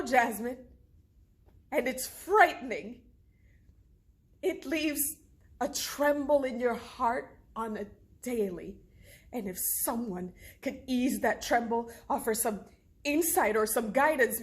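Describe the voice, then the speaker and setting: A woman plays an anguished mother fearing for her child. Her voice sits fairly high, and she pleads with emphasis and deliberate pauses, in the manner of a dramatic stage monologue. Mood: frightened, impassioned.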